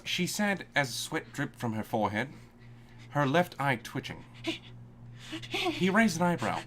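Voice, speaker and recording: A man's voice: fairly high pitch, sing-song, unhurried, a bit bright, a little hiss or hum. A man narrating a fan-fiction story aloud for laughs, often breaking into giggles while reading.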